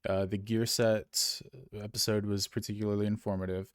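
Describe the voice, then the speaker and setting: A man co-hosts a relaxed gaming podcast and reads out listener emails. His voice sits low, and he rambles and corrects himself as he goes. The recording is dry and close to the mic. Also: normal speed, smooth, dry recording. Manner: rambling, self-correcting, chuckles often. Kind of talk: casual gaming podcast chat.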